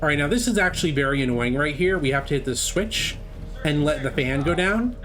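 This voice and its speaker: A man's voice: a little high, animated, fairly quick, a bit bright. A man giving casual, informal live commentary as he speedruns a video game, thinking aloud. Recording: game music behind the voice. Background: video game music.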